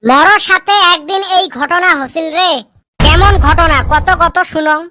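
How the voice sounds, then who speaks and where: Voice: extremely high pitch, a little slow, bright, forceful tone, dry recording, exaggerated voice. A performer voicing a mischievous, bickering village boy in a comedy cartoon, speaking in the Rajbongshi dialect of northern Bengal. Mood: grumbling. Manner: colloquial, bantering, complaining.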